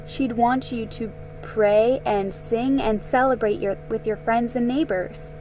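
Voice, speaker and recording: A woman voicing a kindly aunt from a storybook, gently reassuring and measured. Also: a little high, steady tone, soft background music, close to an everyday voice, low-quality recording.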